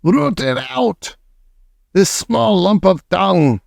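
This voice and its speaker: Imperious female witch leader, read by a male narrator; shrill, lively, contemptuous, commanding. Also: measured pace, no echo, studio quality. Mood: screaming. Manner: bossy, barks orders, contemptuous. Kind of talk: audiobook character voice.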